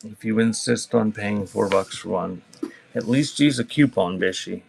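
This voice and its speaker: A low-pitched man runs a casual live sale, reading out items and chatting with buyers. He rambles a little and teases as he goes. There is some faint background noise with clicks. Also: moderate pace. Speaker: live-stream auction seller. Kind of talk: casual live selling chat, reading out cassette titles. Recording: clearly noisy background with clicks.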